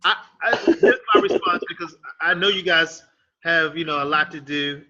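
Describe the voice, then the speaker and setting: A man moderating an online teachers' discussion, animated and stumbling over his first words, heard over a video call. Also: on the high side, moderate pace, lo-fi sound. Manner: animated, stammers on starts.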